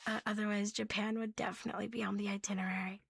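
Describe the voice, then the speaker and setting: Breathy, playful woman doing soft ASMR. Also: mid-range voice, flat delivery, fast, no room sound. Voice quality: soft, breathy, often slipping into whisper. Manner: playful, cutesy catgirl persona, talking to viewers. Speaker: ASMR host. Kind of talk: soft ASMR rambling show-and-tell.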